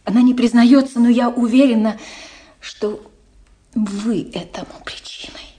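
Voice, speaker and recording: Actress as anxious aristocratic mother, mellow and halting. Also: on the high side, fairly even intonation, a little hiss or hum, lightly acted. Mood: pained, earnest. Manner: halting, breathy, emphatic pauses. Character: anxious, dignified aristocratic mother, a middle-aged princess. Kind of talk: radio play acting.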